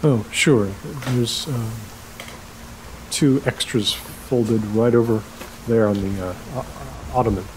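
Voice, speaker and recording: A man's voice: medium pitch, a little mellow, lightly acted, poor audio. A male actor plays an awkward, lonely middle-aged host in an audio drama. His mid-range voice stumbles and repeats as he overshares in an unsettlingly chatty way. Faint noise and ambience sit behind him. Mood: eerily chatty. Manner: halting, repeats himself, oversharing. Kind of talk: naturalistic audio drama acting.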